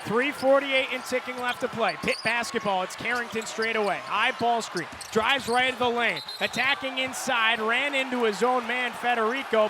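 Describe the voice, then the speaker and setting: An American man doing live radio play-by-play of a basketball game in clipped, energetic phrases. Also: sits high. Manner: clipped phrases, builds to excited calls on big plays. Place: courtside in a large indoor arena. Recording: live arena broadcast, loud court noise behind. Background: loud arena noise, bouncing basketball.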